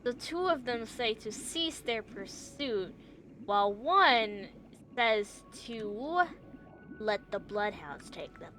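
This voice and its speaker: Casting: woman game streamer, leisurely pace, addresses viewers casually, thinks aloud, lightly high register. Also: expressive, takes it slowly, sharp tone, soft background music. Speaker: livestreamer.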